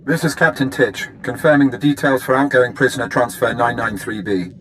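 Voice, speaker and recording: A man's voice: mid-range voice, fairly quick, slight background noise, lightly acted, rough recording. Officious male prison captain played by an actor. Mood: officious, smug. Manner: formal, officious. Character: stern, smug Imperial male prison captain. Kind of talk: video game cutscene acting, official report.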